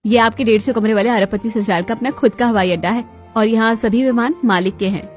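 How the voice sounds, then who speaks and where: Palace maid voiced by a woman: polite, formal, explanatory, highish voice, cartoon story, music behind. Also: steady tone, fairly quick, natural voice. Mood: calm, informative. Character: polite, deferential female palace servant guiding a bride. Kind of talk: Hindi moral-story cartoon dialogue.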